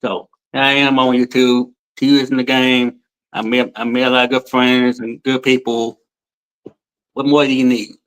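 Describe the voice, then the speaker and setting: A man's voice: medium pitch, level tone, normal speed, fairly bright tone, smooth, poor audio. A man in his forties, a guest telling his story in casual chat, rambling and repeating himself, with informal colloquial speech, over a video call. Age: 40s.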